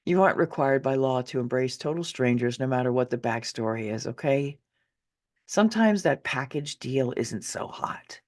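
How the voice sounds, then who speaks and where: A woman's voice: a bass voice, lively intonation, moderate pace. A middle-aged woman reads her own memoir as a conversational audiobook, in a candid, wry way with dramatic emphasis. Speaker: author reading own memoir.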